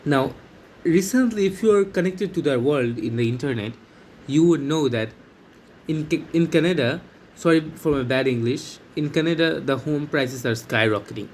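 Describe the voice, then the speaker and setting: Excitable young man, halting delivery. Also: fairly high pitch, a little hiss or hum. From Bangladesh. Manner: excited, halting, with many restarts and fillers. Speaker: vlogger. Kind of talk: casual talk to camera.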